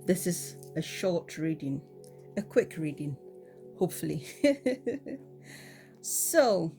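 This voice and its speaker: Woman, has a cold, slow and relaxed, tarot reading, lots of ums, faint music behind. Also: lowish voice. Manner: relaxed, many ums and repeated "okay?". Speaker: tarot reader. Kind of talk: casual pick-a-card tarot reading.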